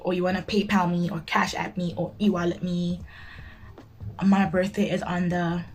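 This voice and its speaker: A nineteen-year-old woman chatting casually to viewers as she does her makeup, blunt and emphatic, often repeating herself for emphasis. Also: mid-range voice. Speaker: beauty vlogger. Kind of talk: casual chat while doing makeup, answering viewer questions.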